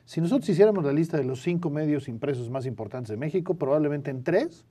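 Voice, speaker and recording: A man's voice: a little high, expressive, fast, warm tone. A man with a Mexican accent speaking as a guest in a spontaneous studio discussion, giving opinions and checking in with short tag questions. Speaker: interview guest, news editor.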